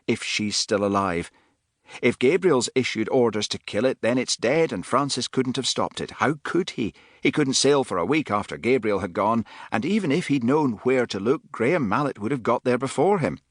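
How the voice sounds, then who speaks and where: A curt, exasperated man who makes his points emphatically, as a low-voiced narrator pushes his voice higher. His pitch moves in a lively way. The recording is dry and close. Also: normal speed, no echo, natural voice.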